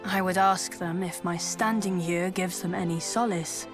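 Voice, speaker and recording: A woman's voice: fairly low pitch, fairly even intonation, lightly acted. A woman playing a dignified, duty-bound knight commander in a fantasy game cutscene, speaking formally and solemnly. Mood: solemn, burdened. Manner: formal, solemn, measured speech. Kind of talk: video game cutscene acting. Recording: music behind the voice. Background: loud background music.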